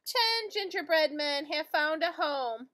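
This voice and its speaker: Female primary teacher, middle-aged, high-pitched and slow, singsong picture-book read-aloud for little kids. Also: lively intonation, bright, forceful tone. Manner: singsong, deliberate, addressing young children. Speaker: teacher reading to children. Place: indoor school classroom.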